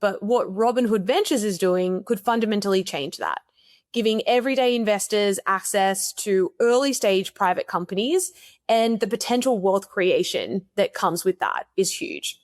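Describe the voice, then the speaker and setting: A woman's voice: medium pitch, moderate pace, no echo. Woman hosting a podcast, dry sound. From Australia. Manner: upbeat, enthusiastic. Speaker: podcast host. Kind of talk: podcast interview.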